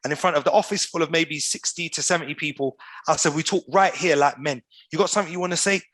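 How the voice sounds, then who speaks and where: Animated man telling stories. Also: fairly high pitch, fast, no room sound. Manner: animated storyteller, uses slang like "bro" and "you get me". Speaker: podcast guest. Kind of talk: casual storytelling over video call. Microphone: video call.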